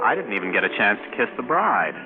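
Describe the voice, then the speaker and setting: Young rocket captain, tinny vintage radio. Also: male voice, on the low side, big pitch swings, quick pace, loud music behind the voice. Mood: wry narrating. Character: eager, flustered young male rocket pilot captain. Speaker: narrator and lead actor. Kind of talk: 1950s radio drama acting.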